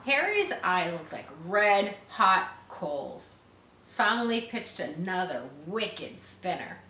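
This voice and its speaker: A woman reading a children's story aloud expressively, pausing with chatty explanations for young listeners. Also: mid-range voice, very animated, pushed and bright. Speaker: storybook reader. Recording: roomy, distant mic, faint background noise.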